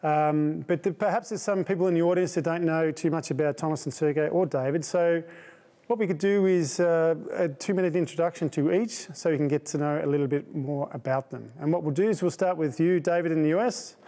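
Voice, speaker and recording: A man's voice: on the high side, a little fast, mellow. A man with an Australian accent presenting as a webinar host, conversational, informal and self-effacing. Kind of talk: conversational presenting to an online audience.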